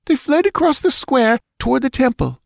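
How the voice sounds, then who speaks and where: A man putting on a frantic young girl in a storybook, high and breathless with urgency. Her voice lifts far above the reader's own, with a creaky edge under it and a dry, close sound. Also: animated, moderate pace, no echo, full character voice. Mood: tearful urgency.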